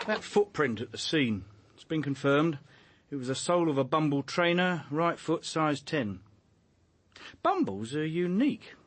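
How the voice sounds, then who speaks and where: An actor playing a dogged police inspector with a British accent, blunt and argumentative in a radio drama. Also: male voice, a little high, breathy edge, dry recording, heavily acted. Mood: brisk.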